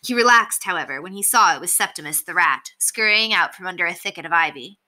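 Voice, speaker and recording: A woman reading a children's novel aloud as expressive, scripted narration. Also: on the low side, fast. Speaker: story reader.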